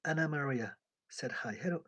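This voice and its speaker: A man's voice: on the high side, moderate pace. A man with a French accent, a tired therapist chatting casually on a live stream and reading out viewer comments with frequent laughs. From France. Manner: chatty, laughs often, soft asides. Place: home in London.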